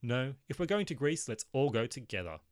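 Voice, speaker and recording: A man's voice: medium pitch, sing-song, brisk, no room sound, studio quality, close to an everyday voice. A man voicing a restless, irritable young man who complains in a sarcastic, exasperated way.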